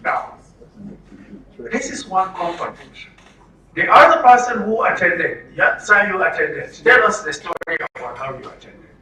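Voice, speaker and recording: A Nigerian man presents to a room in a bright, forceful voice, fairly high for a man. He is emphatic and likes to ask questions and answer them himself. The recording is roomy, with the mic some way off and faint noise. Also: expressive, normal speed, recorded from a distance. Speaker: presenter at a health orientation for media. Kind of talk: interactive public talk. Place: room with an audience.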